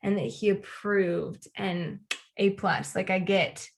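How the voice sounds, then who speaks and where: A woman hosting a podcast, telling personal stories in a casual, animated way with emphatic phrasing. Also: mid-range voice, slow, gravelly, a little hiss or hum. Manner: animated, acts out quoted lines, emphatic repetition. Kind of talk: casual conversational storytelling.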